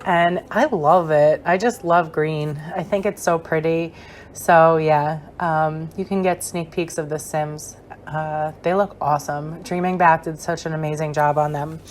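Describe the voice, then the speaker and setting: A young woman with a hoarse, fading voice, giving a chatty, rambling gameplay tour voice-over with many fillers. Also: deep voice, fairly even intonation, measured pace, slight background noise. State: hoarse, losing her voice. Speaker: gaming creator.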